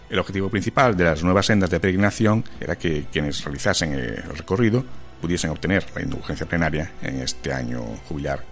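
Deep-voiced male news narrator. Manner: measured, formal reading. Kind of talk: scripted voice-over narration.

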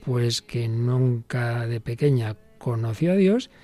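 A man, a priest, hosting a religious radio talk show in a measured, reflective way. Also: mid-range voice, lively intonation, warm tone, soft background music. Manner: measured, thoughtful, quoting songs and authors.

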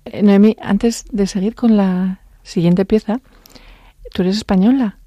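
A woman hosting a radio music program, interviewing a guest and addressing the listener directly in a measured way. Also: mid-range voice, steady tone, warm tone, no echo, lo-fi sound. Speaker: radio host. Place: radio studio.

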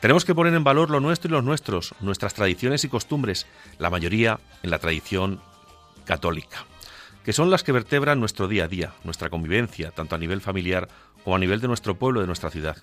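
A man from central Spain with a Castilian accent and a lowish voice delivers a scripted radio monologue. He speaks in a rhetorical, emphatic, declamatory way. Music plays faintly behind him. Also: expressive, brisk, fairly bright tone. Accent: central Castilian Spanish. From La Mancha, central Spain. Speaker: radio contributor. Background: music under the voice.

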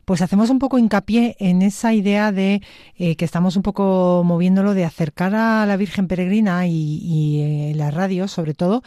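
A woman's voice: lowish voice, fairly even intonation, moderate pace, mellow, no room sound, studio quality. A woman with a Spain Spanish accent hosting a conversational radio interview in an appreciative, encouraging, rambling way. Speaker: radio host.

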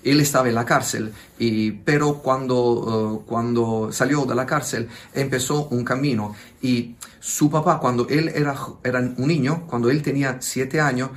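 A man's voice: mid-range voice, steady tone, moderate pace, few pauses. A man, an Italian priest with an Italian accent, speaking Spanish as a second language and telling his testimony in a hesitant way, often searching for words. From Italy. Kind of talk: radio interview testimony.

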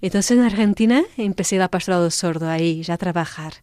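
Portuguese-born nun, radio guest, low-ish smooth voice, hesitant phrasing, dry close mic. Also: female voice, dark tone, no echo. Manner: halting, searching for words, many restarts. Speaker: religious sister, guest. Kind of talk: radio interview.

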